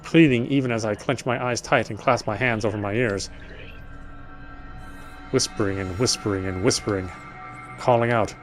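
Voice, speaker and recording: A man's voice: on the low side, fairly even intonation, moderate pace, pauses often. A man reading a horror story aloud in dramatic narration, sometimes stumbling and rereading phrases. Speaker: gameplay streamer reading a visual novel aloud. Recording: music behind the voice. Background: game music.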